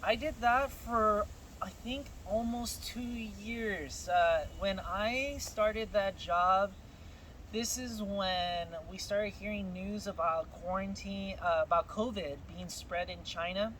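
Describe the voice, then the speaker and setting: A man with a high voice tells his story in a loose, easy way and laughs a lot. He grew up in California, and his first language is Spanish. Street traffic and outdoor noise come through clearly behind him. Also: slow pace, low-quality recording. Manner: relaxed storytelling, laughs often. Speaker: guest telling his story. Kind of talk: casual chat.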